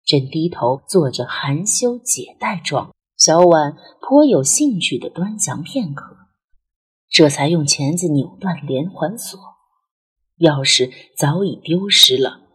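A woman narrating a scripted ghost story as an audiobook, with an expressive, literary storytelling delivery. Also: low voice, takes it slowly, husky. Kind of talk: scripted dramatic storytelling, ghost romance novel.

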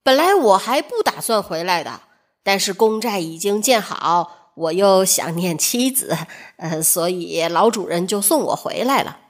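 A woman's voice: mid-range voice, expressive, a little slow, voice pushed down. A woman voicing a sly male carpenter in a fairy tale, with showy, feigned sincerity. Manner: loud calls, feigned sincerity. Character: sly, plump male carpenter, falsely cheerful.

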